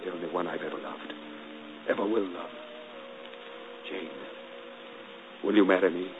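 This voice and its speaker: A man playing a brooding, ardent gentleman in a 1940s radio drama, pleading and urgent, rising to impassioned outbursts. The sound is muffled and hissy, like an old broadcast. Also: sits low, steady tone, normal speed, close to an everyday voice.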